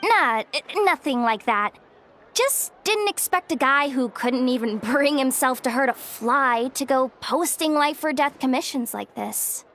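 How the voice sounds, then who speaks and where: Young streetwise woman, video game, high voice, leisurely, teasing, cocky, slangy. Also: animated, measured pace, a bit bright, a little hiss or hum, full character voice. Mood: teasing, laid-back. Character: breezy, streetwise young woman mercenary, cheeky and self-assured. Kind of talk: video game character acting.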